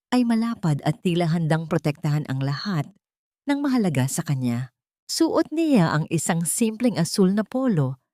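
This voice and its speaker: A woman reading a dramatic scripted story in a solemn, deliberate manner. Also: low-pitched, dark tone, no room sound, clean studio sound.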